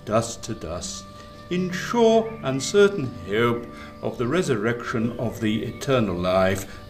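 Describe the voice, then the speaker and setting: A male actor plays a solemn clergyman in a radio drama. He intones liturgically at a very slow pace, his mid-pitched voice rising and falling over soft music.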